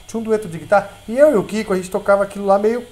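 A middle-aged Brazilian man talking as a guest on a couch, an animated storyteller who stumbles and repeats words. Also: high-pitched, racing. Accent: Brazilian Portuguese. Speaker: guest musician. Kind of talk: casual interview conversation. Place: indoor living room set on a couch.

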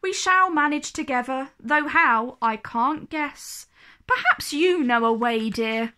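A woman voicing an anxious, gentle mother in a storybook, with a worried manner. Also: high voice, animated, slow, heavily acted. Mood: surprised, resolved. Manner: worried, sighing, gasping.

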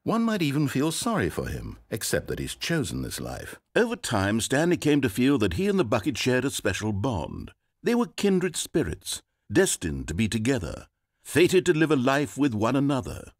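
An actor playing an omniscient game narrator, wry and theatrical. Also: male voice. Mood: storytelling. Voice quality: crisp measured delivery, very animated pitch. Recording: dry close studio voice.

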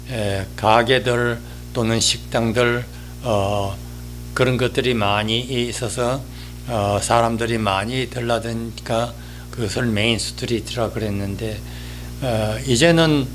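Male columnist, 80s, mid-pitched, very slow and halting delivery of a reflective spoken column. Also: fairly bright tone. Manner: halting, hesitant, with frequent drawn-out fillers. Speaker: newspaper-style columnist giving a talk. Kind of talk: reminiscing monologue column. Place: indoors, small office or studio, seated.

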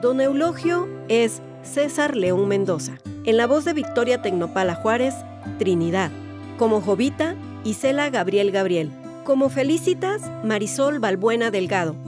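High-voiced woman announcer over guitar music. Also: expressive, moderate pace. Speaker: credits announcer. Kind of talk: scripted announcement. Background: plucked guitar music.

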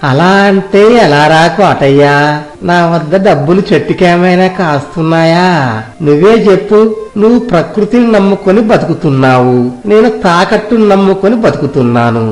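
A man's voice: high voice, animated, moderate pace. A man playing a miserly, scheming village moneylender in a story, wheedling and condescending with emphatic delivery. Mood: firm, bargaining. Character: miserly, scheming village moneylender husband, smooth-talking and greedy. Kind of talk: scripted Telugu moral story dramatization. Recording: roomy echo, music behind.

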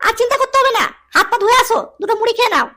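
Shrill, fretful cartoon housewife. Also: female voice, lively intonation, racing, sharp tone, slight background noise, heavily acted. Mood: fretful, concerned. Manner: shrill, exclamatory, homely. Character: fretful, caring village housewife, wife of a jester. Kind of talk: comic cartoon acting.

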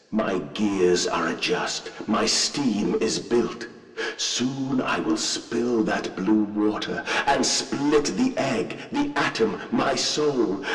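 An actor playing a messianic, menacing machine god in a video game, with slow, theatrical oratory that swings from hushed to thunderous. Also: male voice, fairly low pitch. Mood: grandiose, ominous. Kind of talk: dramatic video game voice acting. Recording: distant, echoing voice in cavernous reverb.

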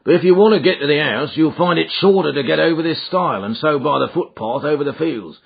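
A man's voice: fairly high pitch, fast, gravelly, slightly rough, pitched above the performer's own voice. A man voicing a helpful, deferential rustic carriage driver in an audiobook, plain-spoken and obliging. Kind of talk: audiobook character dialogue. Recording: muffled, thin phone-like audio.

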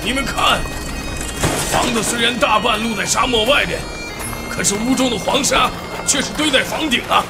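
A man playing an excitable, absent-minded elderly archaeology professor in an audio drama, with a creaky voice. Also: very high-pitched, normal speed. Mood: awed, excited. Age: older. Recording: loud music under the dialogue.

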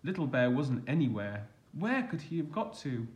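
A man reading a children's storybook aloud as a teacher would, expressive and deliberate, stressing the action words. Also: medium pitch, dull audio.

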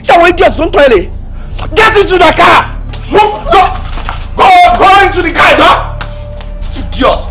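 A young Nigerian actor playing a jealous, controlling boyfriend who barks insults and orders. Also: male voice, extremely high pitch, sing-song, very fast, few pauses, music in the background. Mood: bossy. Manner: shouting, insulting, domineering.

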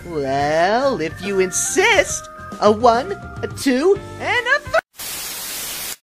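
An excitable mascot bear brags in a squeaky, high voice. The delivery is showy and bouncy, with music underneath. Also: female voice, extremely slow, heavily acted, rough recording. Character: excitable, boastful cartoon bear mascot in a video game.

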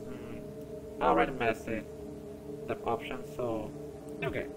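A man's voice: mid-range voice, unhurried. A man with a Brazilian accent, a native Portuguese speaker, giving casual, hesitant commentary as he plays a game. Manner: hesitant, expressive. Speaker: gamer, gameplay host. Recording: clear background noise.

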